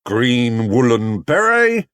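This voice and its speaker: A man voicing a stern, officious, grim store detective in a children's storybook, speaking deliberately. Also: mid-range voice, sing-song, a bit bright, clear, resonant tone, dry recording.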